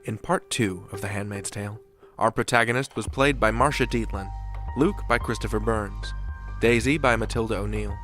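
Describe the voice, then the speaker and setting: A man hosting a podcast, reading a scripted outro and credits in a measured, theatrical announcer style. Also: lowish voice, a bit bright, soft background music. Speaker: podcast host.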